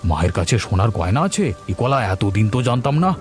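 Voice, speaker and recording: A man's voice: on the low side, lively intonation, fast, faint music behind, natural voice. A man playing a greedy, inquisitive middle-aged village householder in a cartoon story. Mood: scheming. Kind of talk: cartoon voice acting.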